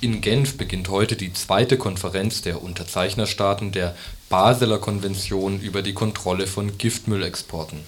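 A man's voice: low-pitched, steady tone, moderate pace, a little hiss or hum. A man reading scripted radio news as a news reader.

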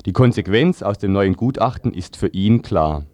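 Male newsreader, scripted delivery, low voice, dry close sound. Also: animated, moderate pace, a little mellow, no room sound. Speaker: news reader. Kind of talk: scripted radio news reading.

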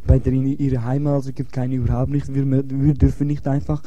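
A man's voice: medium pitch, level tone, fast talker, dark tone, no echo, muffled recording. A man from Kurdistan with a Kurdish accent, speaking earnest, broken German and repeating himself. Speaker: asylum seeker. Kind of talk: casual chat.

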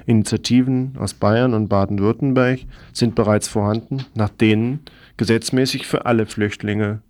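A man's voice: lowish voice, fairly even intonation, normal speed, darkish tone, no room sound. A man presenting radio news from a script, with pointed, opinionated commentary in his delivery.

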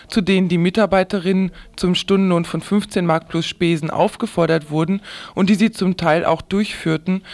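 A man's voice: high-pitched, darkish tone, dry recording. A man reading scripted news as a radio presenter.

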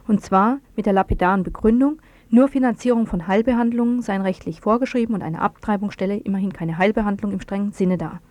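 A woman's voice: mid-range voice, quick pace, darkish tone, no echo. A woman presenting on the radio, reading a scripted news report.

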